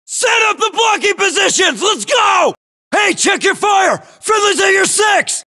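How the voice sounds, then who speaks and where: A us marine in a war game, yelling urgent orders, really high pushed voice, sharp and forceful. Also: male voice, expressive, measured pace, heavily acted. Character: young hard-charging US Marine infantryman in battle. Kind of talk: military shooter game battle barks.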